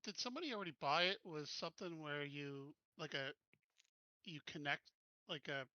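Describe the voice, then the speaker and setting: A man's voice: on the high side, normal speed, bright, forceful tone, no echo, muffled recording. A man chatting casually as a player in a tabletop roleplaying game.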